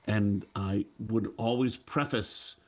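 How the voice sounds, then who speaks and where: A middle-aged man recounting his experience on a panel in a measured, storytelling manner with a theatrical touch. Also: on the low side, a little mellow. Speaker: panelist, audio drama producer and stage actor. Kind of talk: anecdotal panel talk.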